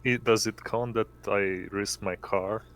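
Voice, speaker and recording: A man chatting casually as a tabletop game player, speaking in a halting way. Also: lowish voice, fairly even intonation, a crowd in the background. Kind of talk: casual chat.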